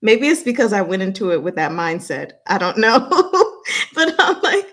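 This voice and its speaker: A woman hosting a livestream in casual chat, with an animated, scattered, self-deprecating way of talking. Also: medium pitch, normal speed. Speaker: livestream host.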